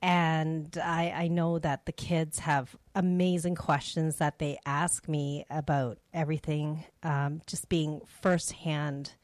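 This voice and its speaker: A woman's voice: sits low, flat delivery, slow pace, few pauses, darkish tone, no room sound. A middle-aged woman from Canada hosting a faith show, giving personal testimony in a conversational, reflective and earnest way. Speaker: host of a faith radio show.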